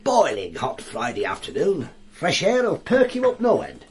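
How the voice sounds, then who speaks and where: An actor playing a wry, bookish, world-weary detective inspector in a radio drama, with a dry, pompous turn of phrase. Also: male voice, a little high, wildly swooping pitch, unhurried, full character voice. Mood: wry, musing. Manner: dry, pompous, quote-laden turns of phrase.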